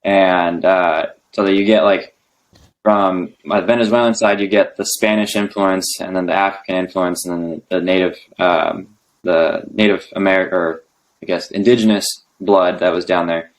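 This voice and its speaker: A young man speaking as a podcast guest, relaxed and hesitant, with many ums. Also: low-pitched, normal speed, rough recording. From United States. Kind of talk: casual interview conversation.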